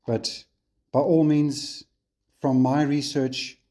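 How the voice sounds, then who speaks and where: A South African man talks through a tutorial on camera at home, unhurried and relaxed, pausing often on ums and uhs. His voice is dark and mellow at a middling pitch. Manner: relaxed, unhurried, frequent fillers. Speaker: RC hobby channel host. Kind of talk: casual tutorial walkthrough on camera.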